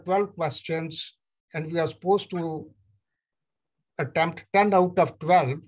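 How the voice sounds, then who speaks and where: An older man from Punjab whose first language is Punjabi, speaking Indian English and telling his life story in a measured, storytelling way over a video call from a room at home. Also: high voice. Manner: measured, storytelling, acts out quoted exchanges. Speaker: neurologist guest. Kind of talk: recounting life story in an interview.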